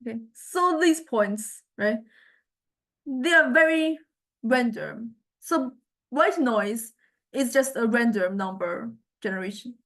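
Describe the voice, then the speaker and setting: A woman lecturer with a somewhat high voice talks through an informal software demonstration. She speaks haltingly, thinking aloud and feeling around for the right words as she explains.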